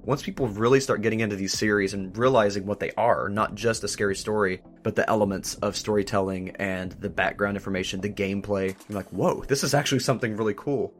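A man's voice: lowish voice, little pitch movement, quick pace, hardly any pauses. A man speaking earnestly and giving his opinions in a casual panel discussion as a host. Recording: faint music underneath. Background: soft background music.

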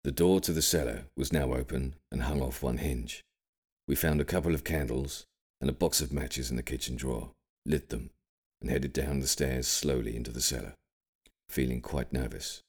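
Very deep-voiced old grandfather storyteller, acted by a man. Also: fairly even intonation, darkish tone, breathy edge, exaggerated voice. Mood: uneasy, reflective. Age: older. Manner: careful, measured, ominous storytelling. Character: cryptic old grandfather reminiscing gravely about wartime childhood. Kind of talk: audiobook character storytelling.

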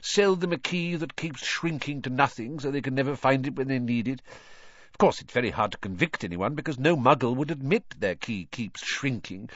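A man's voice: mid-range voice, fairly quick, fairly bright tone, no echo, lo-fi sound, pitched above the performer's own voice, lightly acted. A man voicing a tired, kindly middle-aged wizard father who stammers when flustered. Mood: weary. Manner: stammering, sheepish, weary.

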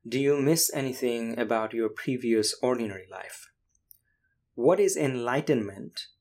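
A man whose first language is Bangla, hosting and narrating with a measured, explanatory delivery. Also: medium pitch, darkish tone. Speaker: podcast host and narrator. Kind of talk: scripted narration and casual interview.

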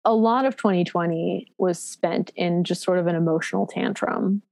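Low-voiced woman in her thirties, candid and halting. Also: vocal fry, a little mellow, dry recording. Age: 30s. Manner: reflective, halting, with many fillers and restarts; frank and self-deprecating. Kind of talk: candid personal storytelling.

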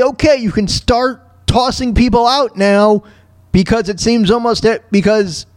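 Male talk radio host, high pitch, very dry close sound, casual rambling commentary, exclamatory. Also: no echo. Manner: rambling, exclamatory, repeats phrases.

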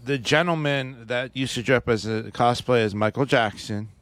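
A man's voice: medium pitch, moderate pace, fairly bright tone, dry recording. A man co-hosting a podcast in casual chat, talking hesitantly with many restarts and hedges. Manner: hesitant, restarts often, hedges his opinions. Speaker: podcast co-host. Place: podcast studio.

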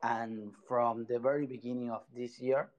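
A man from Argentina with an Argentine Spanish accent, a project founder guesting on an online audio chat, speaking halting English and searching for words. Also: mid-range voice, fairly even intonation, highs cut off.

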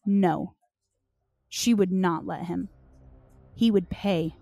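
A woman storyteller reading scripted horror narration dramatically, in deliberate short phrases. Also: lowish voice, gravelly, dark tone, no echo, soft background music.

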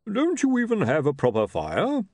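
A man's voice: high voice, huge pitch swings, moderate pace, mellow, no echo, pitched above the performer's own voice, heavily acted. A man voicing a blunt, blustering, impatient chief priest in a fantasy audiobook. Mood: indignant.

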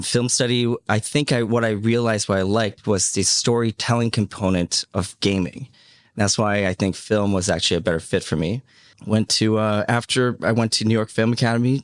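A man in his thirties from Hong Kong speaks in a deep, easygoing voice as a podcast guest. He tells his own story haltingly, with many small pauses and fillers. The sound is close and dry, with no room around it. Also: no echo. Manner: halting, full of "um" and "you know", easygoing. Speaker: podcast guest, media entrepreneur. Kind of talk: casual life-story interview.

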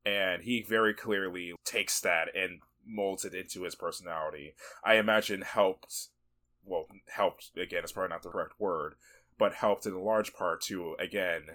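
Bright-voiced man podcast host, laughing. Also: low-pitched, steady tone, moderate pace. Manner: laughs often, rambling, swears for emphasis. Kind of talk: casual movie review chat.

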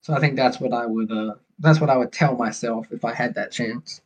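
A man's voice: mid-range voice, a little fast. A man from Georgia with a Southern US accent, chatting and telling stories casually as a podcast guest. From Georgia, USA. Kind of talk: casual storytelling chat. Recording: dull lo-fi call audio.

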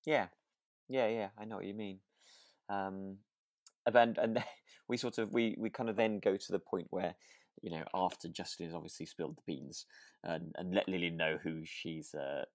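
A man co-hosting a podcast, recounting a drama in casual, halting chat. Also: deep voice, steady tone, no echo, clean studio sound. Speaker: podcast co-host.

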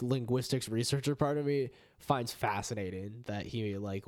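Young man, podcast host, casual chat, animated, swears, lots of "like". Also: on the low side. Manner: animated, swears, many fillers.

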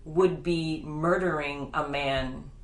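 Low-pitched lady host, chatty n excited, lots of "right?", echoey room. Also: takes it slowly. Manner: enthusiastic, chatty, frequent "right?" and "like". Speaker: podcast host. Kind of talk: casual interview conversation.

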